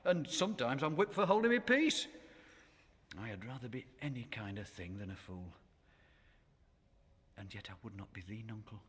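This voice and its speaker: Impudent jester with leaping intonation. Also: male voice, medium pitch, expressive, normal speed, long pauses, a bit bright, slightly rough. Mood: teasing, sly. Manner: teasing, sing-song, mischievous. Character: impudent, riddling court jester mocking his master. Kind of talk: classical Shakespearean radio drama acting.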